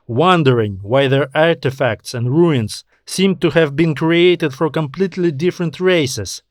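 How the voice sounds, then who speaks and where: A man's voice: mid-range voice, no echo, clean studio sound. A man reading scripted science fiction narration as an audiobook narrator.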